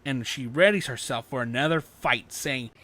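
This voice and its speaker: A man's voice: mid-range voice, normal speed, bright, forceful tone. A man reading an action fan fiction story aloud as a dramatic narrator.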